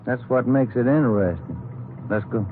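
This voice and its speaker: A man acting a plain-spoken police detective partner in an old radio drama, brisk and conversational, on a noisy, tinny recording. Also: mid-range voice, lightly acted. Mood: concerned, businesslike.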